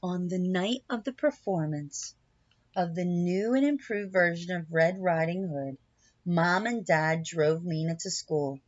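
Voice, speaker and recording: A woman reading a children's picture book aloud in an expressive, deliberate storytelling manner, with asides to young listeners. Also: lowish voice, dull audio. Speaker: storybook reader.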